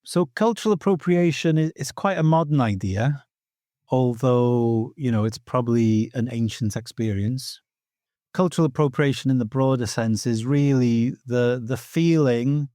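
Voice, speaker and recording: A man's voice: medium pitch, darkish tone, dry recording, studio quality. A middle-aged man from Wales with a Welsh accent, a native Welsh speaker, answering as an interview guest in a thoughtful, earnest way with many "you know" asides. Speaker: guest teacher of Welsh myth. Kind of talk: reflective podcast interview answers.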